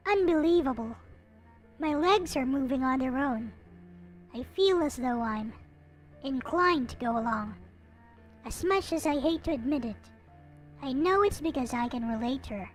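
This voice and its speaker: A woman reading a visual novel aloud in an expressive, theatrical way, with some casual commentary. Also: sits high, moderate pace, long pauses. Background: background music.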